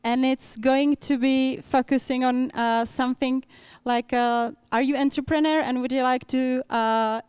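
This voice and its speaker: A young woman moderates a public debate in English, stopping often to search for words. Her voice is very clear and sits a little high. The sound is dry and close. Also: fairly even intonation, measured pace, a little mellow, dry recording. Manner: frequent fillers and restarts, non-native English. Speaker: event organizer and moderator. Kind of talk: hesitant public speaking at a panel event.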